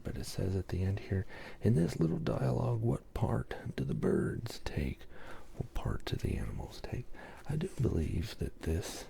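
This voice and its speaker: A man reading a children's poem aloud in a soft, gentle ASMR whisper, then chatting quietly about the book. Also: low-pitched, vocal fry. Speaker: reader.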